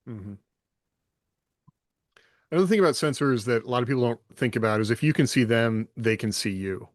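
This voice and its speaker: A man's voice: medium pitch, brisk, no echo. Man in dry-sounding casual podcast discussion. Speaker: podcast co-host.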